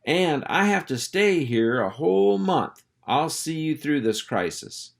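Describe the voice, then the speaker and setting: A man's voice: fairly high pitch, big pitch swings, measured pace, creaky, voice pushed up, full character voice. A man voicing a boastful, oblivious ranch dog in a storybook, with a folksy, self-important, blustering manner. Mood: earnest, blustering.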